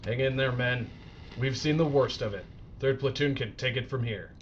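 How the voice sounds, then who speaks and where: An actor playing a loyal, wary army sergeant in an audio drama, sardonic and soldierly. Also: male voice, mid-range voice, moderate pace, natural voice. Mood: gritty. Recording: slightly noisy, muffled audio.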